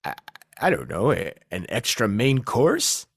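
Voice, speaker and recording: A man's voice: on the low side, huge pitch swings, a little slow, creaky, fairly bright tone, husky, no room sound, clean studio sound, exaggerated voice. A man playing a dim, easily offended, hapless rescuer in a comic audio play, hesitant and exasperated. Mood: flustered.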